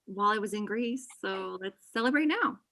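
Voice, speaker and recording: A woman's voice: medium pitch, lively intonation, normal speed, gravelly, bright, forceful tone. A young woman acting a blunt, defensive friend in a table read over a video call. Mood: friendly. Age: young adult.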